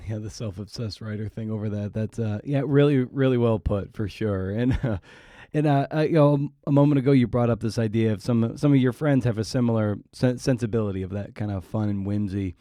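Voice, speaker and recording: A man with a fairly low, very mellow voice hosts a casual interview. He rambles a little and feels his way into each question. The sound is close and dry. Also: brisk, dry recording. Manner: rambling, hesitant question-building. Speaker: podcast host, interviewer.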